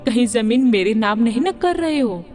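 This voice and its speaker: A woman's voice: fairly high pitch, lively intonation, normal speed, darkish tone, soft background music, lightly acted. A woman voicing an arrogant, spoiled young daughter-in-law in a Hindi cartoon, mixing in haughty English phrases. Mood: smug. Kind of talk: Hindi moral-story cartoon dubbing.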